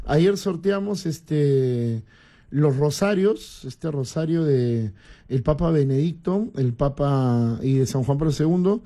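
Man, forties, husky, a bit high, relaxed radio co-host. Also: slow. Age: 40s. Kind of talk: casual radio chat.

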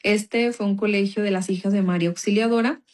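A woman's voice: medium pitch, steady tone, fairly quick, a little mellow. A woman from Mexico with a Mexican accent gives an informal personal testimony in a conversational, earnest, explanatory manner. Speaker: speaker giving a religious testimony.

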